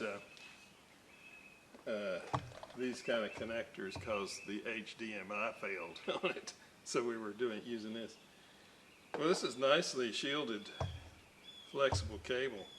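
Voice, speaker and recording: A man talks through his repair work at an indoor electronics bench, drifting into side stories and filling gaps with "uh." His pace is slow and unhurried, his pitch sits in an ordinary middle range, and a faint hiss lies under the recording. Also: a bit bright. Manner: rambling, many fillers and side stories. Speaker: electronics restoration hobbyist host. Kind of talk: casual workbench narration.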